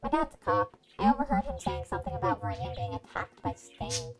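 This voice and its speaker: A woman's voice: high-pitched, huge pitch swings, a little fast. A woman giving an amateur story reading, animatedly acting out messages as she goes, with music behind her. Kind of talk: amateur fan fiction reading.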